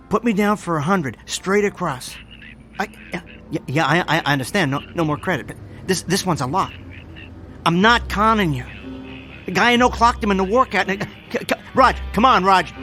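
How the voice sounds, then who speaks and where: A desperate small-time hustler with a high voice. He is a nervous wheedler who trips over his words in a radio drama, with faint music underneath. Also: animated, pushed and bright. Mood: wheedling, upbeat. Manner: wheedling, nervous, stammering fast talker.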